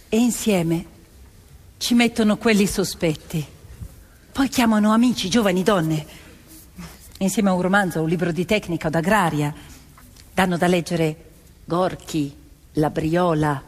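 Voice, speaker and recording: An actress playing a peasant woman recounting family memories, with deliberate, expressive theatrical phrasing in an echoing space. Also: mid-range voice, lightly acted. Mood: measured. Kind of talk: theatrical storytelling over music. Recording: echoey hall with long reverb. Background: violin music.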